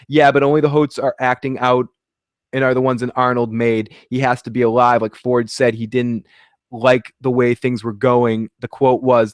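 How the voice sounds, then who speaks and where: A man's voice: medium pitch, dry recording, clean studio sound. A man with a Boston accent, sick with the sniffles, rambling through a casual TV episode recap with restarts and cursing. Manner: rambling, restarts and swears freely. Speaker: show recap host.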